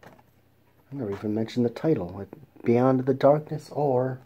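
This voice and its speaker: A man hosting a casual film review show, talking in a halting way with restarts and throat clearing. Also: medium pitch, mellow, muffled recording. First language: English.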